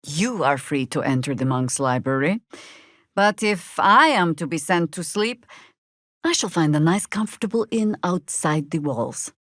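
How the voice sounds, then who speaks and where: A woman's voice: low voice, expressive, a little slow, no echo. A woman voicing a seasoned, wry, battle-hardened druid veteran in a fantasy video game, speaking in a dry, world-weary, commanding way.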